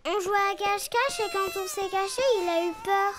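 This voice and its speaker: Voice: very high-pitched, normal speed, background noise. A performer voicing an eager, kind little boy bear cub in a preschool cartoon, earnest and childlike. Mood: hesitant, earnest. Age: child character. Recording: music behind the voice.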